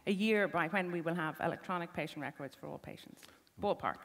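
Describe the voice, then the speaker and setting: A woman interviewing a guest, brisk and pressing for short, direct answers. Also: on the low side. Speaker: interviewer, health policy analyst. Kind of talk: interviewing, quick-fire questions. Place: conference hall.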